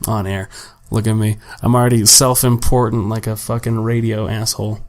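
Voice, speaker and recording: A young man, slightly raspy from a long day of talking, hosting a solo podcast at home and rambling casually and profanely about his week, with plenty of self-deprecation. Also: lowish voice, little pitch movement, moderate pace. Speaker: podcast host. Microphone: home desk microphone without pop filter.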